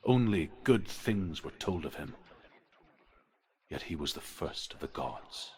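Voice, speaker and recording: Game lore narrator: deep voice, solemn, measured, reverent telling of ancient myths. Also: male voice, lively intonation, a little mellow, a little hiss or hum. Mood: reverent, grave. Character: solemn male storyteller of old Norse legends. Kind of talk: mythic storytelling in a video game.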